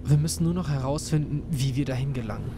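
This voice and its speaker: A man's voice: medium pitch, fast, noisy background, lightly acted. Man playing a determined young student. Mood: earnest. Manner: determined, murmuring clues to himself. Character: young male student, driven investigator. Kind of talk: German fan audio drama.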